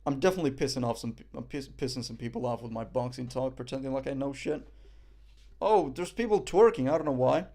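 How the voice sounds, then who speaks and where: A man's voice: mid-range voice, fast, vocal fry, mellow. A young man from Morocco, casually commenting on a boxing event on a live stream, rambling and joking with frequent swearing and fillers.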